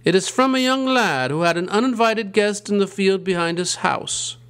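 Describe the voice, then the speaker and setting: A man playing a ghoulish, gleefully punning college dean who hosts a campy horror show with theatrical, drawn-out delivery. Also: high voice, a bit bright, smooth. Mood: gleefully macabre.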